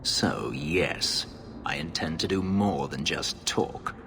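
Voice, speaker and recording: An actor playing a grave, proud escaped-slave elf warrior in a video game, speaking in a measured, formal way. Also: male voice, very deep voice, animated, vocal fry, pushed and bright, full character voice. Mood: grim resolve. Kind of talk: video game character acting. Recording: long reverb, faint ambient noise.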